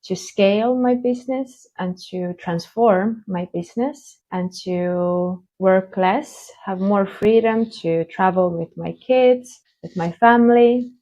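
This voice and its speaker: Slow-spoken woman from Estonia. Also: fairly low pitch, darkish tone. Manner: slow, hesitant, with many fillers. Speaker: conference speaker. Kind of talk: keynote talk. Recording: dull lo-fi online stream.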